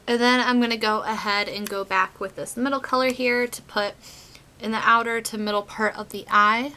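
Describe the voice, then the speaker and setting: A young woman talks through her makeup routine in a casual, chatty way, filling pauses with "um" and "like". Her tone is bright and a little edgy, and her voice is worn from a cold. She sits close to a webcam in a small bedroom. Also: on the high side, slight background noise. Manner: chatty, relaxed, many fillers like "um" and "like". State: getting over a cold, voice going in and out. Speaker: beauty vlogger.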